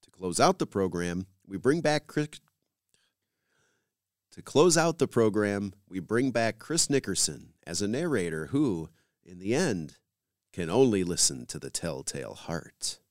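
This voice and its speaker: A man's voice: lowish voice, big pitch swings, unhurried, no room sound, clean studio sound. A man hosting a radio program, reading scripted introductions and credits as a presenter.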